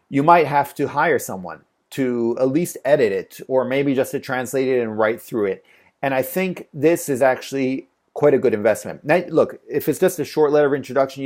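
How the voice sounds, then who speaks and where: A man's voice: medium pitch, darkish tone. A man teaching a casual course on freelance translation. He talks in a loose conversational way, with fillers and repeated phrases. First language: English. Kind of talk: casual spoken tutorial.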